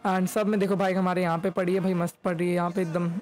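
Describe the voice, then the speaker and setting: Young man, high voice, flat even intonation, casual game streamer narration, close mic. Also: fast. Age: young adult. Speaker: gaming YouTuber. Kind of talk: casual gameplay commentary mixing Hindi and English. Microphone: close microphone in front of face.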